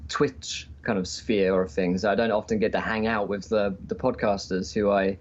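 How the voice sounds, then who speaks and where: Low-voiced man on a dull call. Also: level tone, normal speed. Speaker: podcast guest, tabletop game streamer. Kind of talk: casual interview chat. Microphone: remote call-in.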